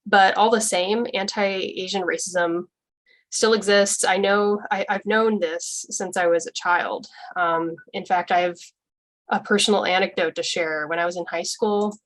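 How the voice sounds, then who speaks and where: A woman's voice: medium pitch, steady tone, measured pace, poor audio. A young woman giving an informal, earnest presentation at a church forum, with frequent ums and restarts.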